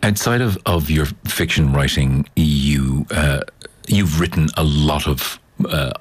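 A man interviewing a novelist, asking questions with halting, stumbling phrasing. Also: a bass voice, breathy edge.

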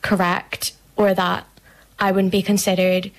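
Even-toned young woman. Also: mid-range voice, measured pace. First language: Irish. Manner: hesitant, frequent "like". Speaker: actor interviewed. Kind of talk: thoughtful interview chat.

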